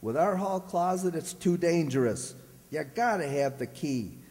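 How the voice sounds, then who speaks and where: An actor playing a grumbling, blustery, wisecracking husband with folksy, slangy exasperation in an old-time radio comedy. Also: male voice, a little high, animated, a little slow, a little mellow. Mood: blustering, resolved. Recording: echoey hall stage recording.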